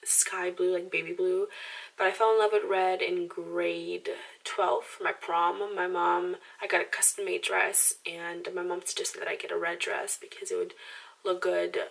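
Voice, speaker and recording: Young woman vlogger with a slight Romanian accent, low gravelly tone, chatty and self-deprecating, mic a little off. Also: flat delivery, normal speed, a bit bright, recorded from a distance, rough recording. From Romanian background. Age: 20s. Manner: rambling, lots of "like" and restarts, self-deprecating. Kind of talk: casual chatty monologue to camera.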